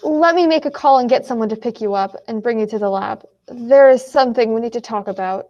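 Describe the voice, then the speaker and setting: Earnest female scientist played by a teenage girl, patient and explanatory, slightly high voice, radio drama acting. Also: brisk, darkish tone, lo-fi sound, close to an everyday voice. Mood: firm. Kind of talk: school radio play acting.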